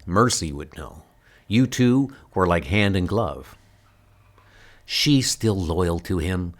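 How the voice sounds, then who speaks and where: A determined, troubled hero, acted by a man for a comic dub. He speaks slowly and earnestly, pressing his point with a moving pitch. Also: lively intonation, full character voice. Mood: insistent. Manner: earnest, insistent. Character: determined, anguished male superhero searching for his niece. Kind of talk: comic book dub acting.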